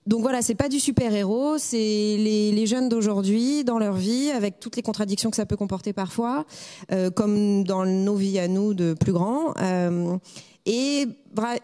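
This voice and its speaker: A woman's voice: medium pitch, normal speed, dark tone, big-room sound. A middle-aged woman guest on a studio talk show, speaking with many fillers and restarts into a handheld mic. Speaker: guest, editorial director. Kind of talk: interview. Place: small studio set.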